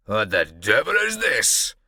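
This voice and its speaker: A burly, blustering bully, as a man acts him out, loud and sharp-edged, with a sneer and a roar in his voice. He swings widely up and down in pitch. The sound is dry and close. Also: big pitch swings, moderate pace, dry recording, exaggerated voice. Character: burly menacing red-bearded bully man. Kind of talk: audiobook character voice.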